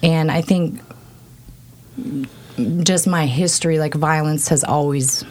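Low-voiced candid woman in her thirties. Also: fairly even intonation, takes it slowly, darkish tone, a little hiss or hum. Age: 30s. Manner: frank, self-reflective, many fillers. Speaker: guest, pole dance school founder. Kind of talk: candid interview talk.

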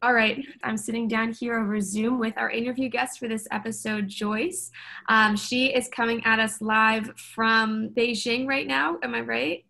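Young female podcast host, upbeat and encouraging, slightly high voice with a gravelly edge. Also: fairly even intonation, a little slow, bright, forceful tone. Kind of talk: interviewing students.

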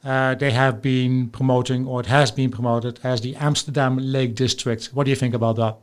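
A man's voice: medium pitch, flat delivery, moderate pace. A man from the Netherlands with a Dutch accent, hosting a podcast in a casual, chatty interview style and asking questions with curiosity. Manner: inquisitive, chatty. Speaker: podcast host.

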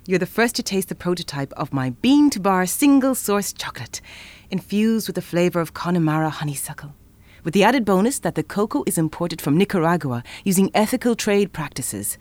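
A woman playing a playful, flirty and ambitious chocolatier in an audio drama, teasing and expressive. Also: fairly low pitch, brisk, no echo, lightly acted. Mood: excited, proud.

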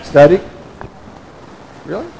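A man preaching a sermon in a church with a loud voice, in a casual, joking manner with frequent restarts. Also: takes it slowly, poor audio. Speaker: pastor. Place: church hall.

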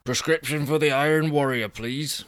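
A man's voice: fairly high pitch, steady tone, moderate pace, a bit bright, clean tone, no room sound, clean studio sound, pitched above the performer's own voice, lightly acted. A man voicing a self-important, petulant small-time vigilante in a comic story, whiny and pompous. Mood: urgent, pompous.

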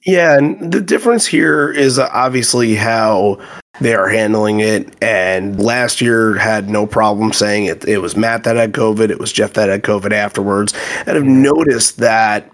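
A man with a slightly hoarse voice hosting a music podcast in a conversational style. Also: on the low side, measured pace, a bit bright. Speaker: podcast host.

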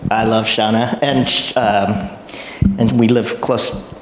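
A middle-aged man, a pastor, talking casually and haltingly as in an informal introduction or spontaneous prayer. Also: on the low side, level tone. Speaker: pastor introducing a guest speaker. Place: echoey church room, live audience. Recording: echoey room with background noise.

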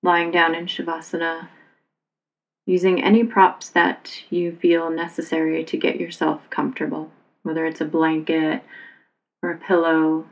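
A woman leads a guided relaxation as a yoga instructor. Her voice sits on the low side with plenty of vocal fry, and her delivery is calm, unhurried and very even in pitch. She gives soothing, simple instructions. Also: low-quality recording.

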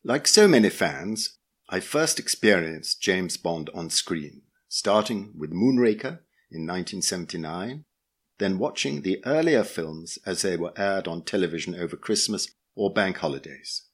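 A British man reads a scripted essay as a podcast host. His voice sits on the low side and is very husky, with a rough, breathy grain. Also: animated, unhurried.

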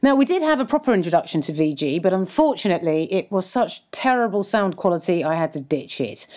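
A woman's voice: lowish voice, very animated, fast talker, a little mellow. Tired woman comedian, rambling. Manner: self-deprecating, rambling with restarts and laughs. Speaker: podcast co-host and comedian. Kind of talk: casual podcast banter. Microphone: recorded without a microphone, on a laptop.

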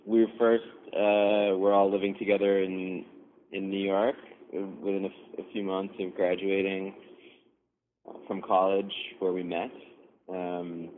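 Deep flat-voiced man over a phone line. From coastal Maine, USA. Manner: thoughtful, rambling, many restarts. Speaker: guest designer. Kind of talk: casual interview answers. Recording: tinny phone line with faint hiss.

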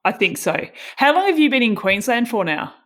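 A woman's voice: a little high, very animated, fairly quick. A woman who commentates races, interviewing a guest in a chatty way with long, wandering questions. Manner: chatty, rambling questions with restarts. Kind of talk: casual interview.

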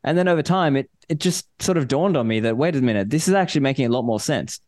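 A man raised in Australia chatting as a podcast guest, relaxed and thoughtful, with fillers and restarts. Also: mid-range voice, fast talker, dry recording, studio quality. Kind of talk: casual interview chat.

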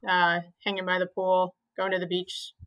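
A woman's voice: fairly low pitch, completely flat delivery, normal speed, dull audio. A young woman from Maine, a physical therapist, answering interview questions plainly with frequent "um"s. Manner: plain, many "um"s, simplifies explanations.

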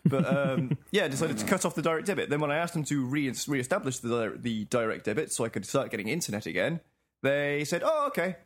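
Man, podcast co-host, husky, lively pitch, wry, laughs while talking. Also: a little fast. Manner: laughs through his words, wry. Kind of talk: casual chat.

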